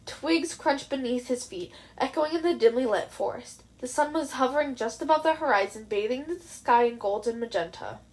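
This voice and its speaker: A woman with a high voice narrates fan fiction. Her reading is expressive, in the manner of an audio story told by a hobby reader. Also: normal speed, hardly any pauses, low-quality recording.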